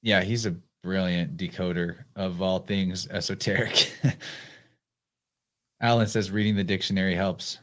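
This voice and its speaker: A man with a fairly low voice hosts a live podcast in easy, casual conversation. He talks in a relaxed way and reads listener comments aloud between thoughts. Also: unhurried. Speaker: podcast host.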